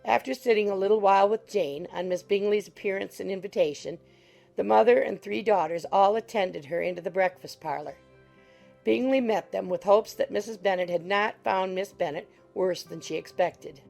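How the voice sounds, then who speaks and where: A woman's voice: medium pitch, normal speed, creaky. A woman narrating a classic novel as an audiobook in a scripted literary reading style. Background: soft music.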